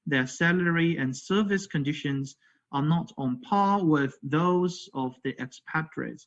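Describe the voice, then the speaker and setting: A man, an English speaker, giving a conference lecture in a halting way, repeating words and checking in with "okay" and "right". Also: a little high. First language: not English. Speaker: academic presenter, language educator. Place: virtual conference call. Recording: dull, lo-fi online audio.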